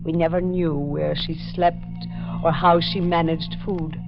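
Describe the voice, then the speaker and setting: A woman's voice: sits low, steady tone, a little slow, over music. An actress playing a brooding old woman, last of a doomed family, in a grave, dramatic 1940s radio style. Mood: brooding, haunted. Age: older. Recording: dull lo-fi 1940s radio recording.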